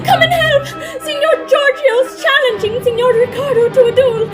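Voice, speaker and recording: A woman's voice: very high-pitched, fairly even intonation, moderate pace, music in the background. A woman playing a distraught, melodramatic lady of an opera company in a farce audio drama, wailing and pleading breathlessly. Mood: frantic distress.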